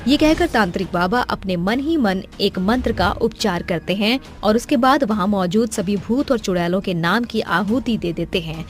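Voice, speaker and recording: A woman reading scripted narration for a cartoon horror story. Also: mid-range voice.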